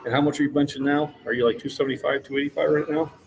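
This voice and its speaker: A man's voice: fairly high pitch, fairly even intonation, racing, gravelly, a little hiss or hum, poor audio. A man in his sixties hosting a casual fitness livestream, chatting with viewers in a friendly, encouraging coach's way. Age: 60s.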